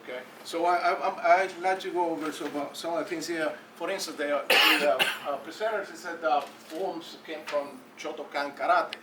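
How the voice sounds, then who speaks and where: Cuban guy commenting from the audience, lots of uhs and restarts, slightly high voice, faint background noise. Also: steady tone. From Cuba. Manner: halting, many fillers and restarts. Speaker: audience member at academic taekwondo conference. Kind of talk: unscripted comments from the floor. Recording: roomy hall from a distance with faint noise.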